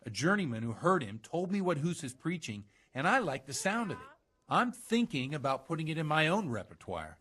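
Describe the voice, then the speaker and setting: Medieval priest, man, slightly high voice, roguish, worldly, preacherly flair, video game dialogue. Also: big pitch swings, brisk, sharp tone. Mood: chatty, amused. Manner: conversational, theatrical when preaching. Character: worldly, roguish medieval village priest, fond of ale, once a fighter.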